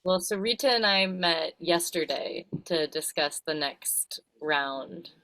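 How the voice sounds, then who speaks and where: A woman guest who is a training facilitator, speaking in casual conversation, thoughtful and deliberate. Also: on the low side, expressive, a bit bright, poor audio.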